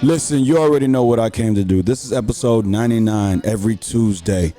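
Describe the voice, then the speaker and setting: A man's voice: fairly low pitch, brisk, music in the background. A man, hosting a podcast and telling a story in a laid-back, repetitive way that hypes the listener. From Houston, Texas. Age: 30s. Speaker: podcast host. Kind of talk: casual storytelling.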